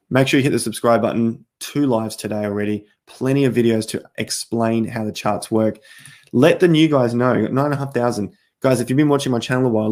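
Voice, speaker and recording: A man hosting a casual crypto live stream, walking through charts while hoarse from losing his voice, clearing his throat often. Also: medium pitch, a little mellow. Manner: throat clearing, rambling explanations.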